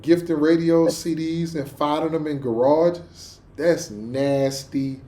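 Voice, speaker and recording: A man's voice: fairly high pitch, fairly even intonation, takes it slowly, dark tone. A man chatting casually in a slangy, conversational way as host of a reaction show.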